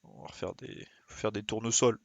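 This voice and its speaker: A man's voice: lowish voice, steady tone, normal speed, gravelly, no room sound, lo-fi sound. A man giving casual live commentary as he plays a game, muttering short interjections as he thinks aloud. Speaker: game streamer.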